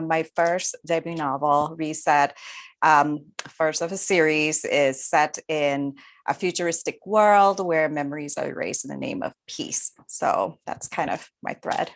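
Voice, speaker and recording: Brisk woman with a lowish voice. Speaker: panelist, author. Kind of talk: panel discussion. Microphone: video call.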